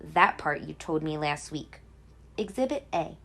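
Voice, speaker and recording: A woman voicing a grumpy, nervous picture-book buddy who grumbles reluctantly with deadpan complaints. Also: sits low, measured pace, gravelly, husky, voice pushed down, heavily acted.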